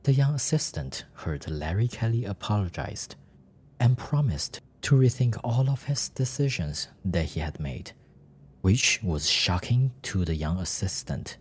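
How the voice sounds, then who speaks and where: Husky male narrator of a melodrama. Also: fairly low pitch, big pitch swings, unhurried. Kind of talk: scripted melodramatic story narration.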